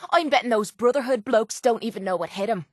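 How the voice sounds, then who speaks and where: A woman's voice: on the high side, fast talker, no room sound, studio quality, natural voice. A woman playing a tough, cocky young brawler in a video game, with an Irish accent and a blunt, sardonic manner. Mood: brash, sardonic. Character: tough, brash, foul-mouthed young woman brawler and mercenary. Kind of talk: video game companion dialogue.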